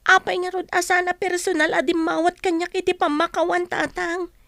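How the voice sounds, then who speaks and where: A wounded teenage girl in a radio drama, very high and bright. Her delivery is plaintive and reproachful, in a close, dry sound. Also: little pitch movement, fairly quick, dry recording. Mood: hurt, doubting. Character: hurt, resentful teenage daughter of a poor family.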